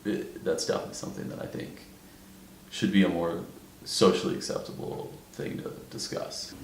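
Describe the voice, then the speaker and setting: A man's voice: sits low, flat delivery, moderate pace, a little hiss or hum. A twenty-year-old man talking reflectively and informally in a documentary interview, thoughtfully correcting himself as he goes. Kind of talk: candid, reflective interview talk. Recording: roomy echo with faint music. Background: faint instrumental music.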